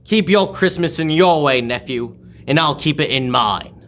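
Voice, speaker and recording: Young man playing a sharp, scornful old miser. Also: on the high side, expressive, normal speed. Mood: angry. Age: teenager or young adult. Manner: curt, scornful, emphatic. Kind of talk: live old-time radio drama reading.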